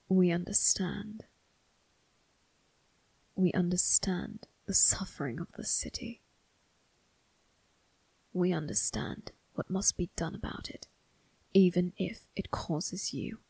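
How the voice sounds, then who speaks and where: A woman voicing a brooding, cold but protective young male vampire crime boss in an ASMR roleplay, speaking formally and deliberately in a raspy, breathy voice that dips into whispers. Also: lowish voice, darkish tone, no echo, heavily acted, rough recording. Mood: intense, confiding. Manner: deliberate, formal, menacing, drawn-out phrasing. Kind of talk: ASMR roleplay, dramatic monologue addressed to listener.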